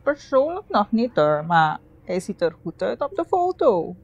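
A woman's voice: medium pitch, sing-song, unhurried, breathy edge, exaggerated voice. A polite young woman in a film, everyday mid voice, bit muffled. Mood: casual, defensive. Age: young adult. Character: polite young woman hosting an online date. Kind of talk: naturalistic film dialogue. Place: dim living room indoors.

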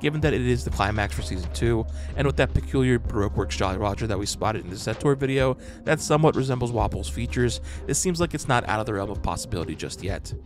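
A man narrating a scripted breakdown as a host, in a measured, explanatory manner. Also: medium pitch, animated. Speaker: host of a fan breakdown channel. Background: music.

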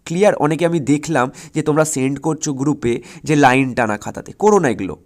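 A man's voice: a little high, brisk. A man coaching school students for exams in an emphatic, directive way, repeating his instructions and checking that they follow. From West Bengal, India. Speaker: school exam tutor.

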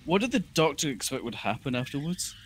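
A man's voice: on the high side, very animated, brisk. A man co-commentating on video game cutscenes in a casual, joking style. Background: faint game music.

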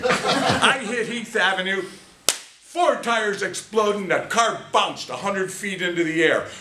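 An American man in his sixties giving a theatrical storytelling speech, dramatic and emphatic with deliberate pauses. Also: high-pitched, bright, forceful tone. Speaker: speech contest competitor. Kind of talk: theatrical tall-tale storytelling speech to a live audience. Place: small meeting room, indoors. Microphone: camera a few meters away. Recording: echoey room, faint noise, distant camera mic.

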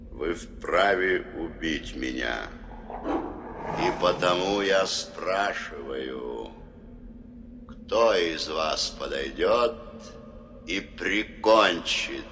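A man playing an old, dignified wolf pack leader in an animated film, weary, solemn and measured. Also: rumbling low, exaggerated voice. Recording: noisy old film soundtrack.

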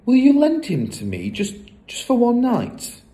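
A man voicing a scheming, excitable young girl in a children's story, moving between hushed whispers and bold cries. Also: on the high side, normal speed, voice pushed up. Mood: eager, pleading.

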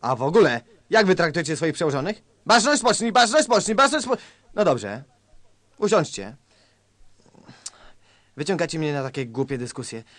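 A man's voice: on the high side, very animated, moderate pace, pauses often, fairly bright tone, no echo. A man acting a nervous, self-important army colonel who barks at subordinates in a satirical radio play. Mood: indignant, bossy. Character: jittery, pompous army colonel, male, satirical.

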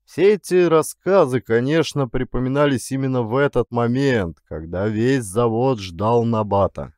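Man, middle-aged, medium pitch, expressive storytelling, audiobook narrator, close dry recording. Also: normal speed, no echo. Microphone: close studio condenser mic with pop filter.

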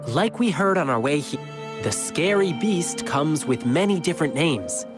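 A man's voice: fairly high pitch, very animated, moderate pace. A young man voicing an earnest, eager lion dance performer in video game story dialogue, explaining things in an encouraging way. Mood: determined, sincere. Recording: game music behind voice. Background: music.

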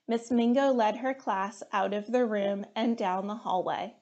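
A woman in her thirties reading a children's storybook aloud in an expressive, deliberate way. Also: medium pitch, highs cut off.

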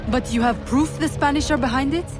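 A woman plays a young video game heroine, poised and resolute. Her voice sits a little high, with formal, composed diction over faint music. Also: a little fast, lightly acted. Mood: firm. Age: young adult. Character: poised, self-possessed young gentlewoman with steely resolve. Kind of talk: video game cutscene acting.

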